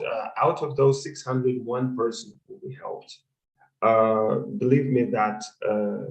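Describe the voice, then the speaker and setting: A man who is not a native English speaker, coaching in a webinar Q&A and pausing often on fillers. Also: mid-range voice, echoey room, poor audio. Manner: measured, many hesitant fillers. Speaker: consulting career coach. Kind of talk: webinar talk and Q&A.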